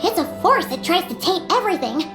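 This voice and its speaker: Game fairy sidekick: childlike, chatty, squeaky, very animated, roomy sound over music. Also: female voice, fast talker, heavily acted. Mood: explaining. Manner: speaks of self in third person, expressive. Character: small childlike floating fairy companion, perky and chatty. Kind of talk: video game character dialogue.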